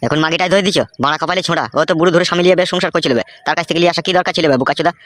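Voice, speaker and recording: A man's voice: fairly high pitch, steady tone, rapid-fire, a bit bright, no room sound, lightly acted. A man voicing a mocking, foul-mouthed village drinker in a comedy cartoon, jeering and teasing as he swears.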